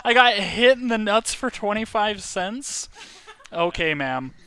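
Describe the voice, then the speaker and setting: Bright high-voiced young man telling stories. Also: measured pace. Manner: animated, acts out quoted lines. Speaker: YouTube host. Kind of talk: casual storytelling.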